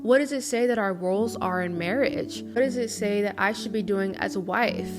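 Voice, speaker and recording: A woman's voice: medium pitch, moderate pace. A woman in her early twenties, talking to camera in a chatty, earnest vlog, often saying "you know". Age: early 20s. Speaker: lifestyle vlogger. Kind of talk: casual confessional talk to camera. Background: guitar music underneath.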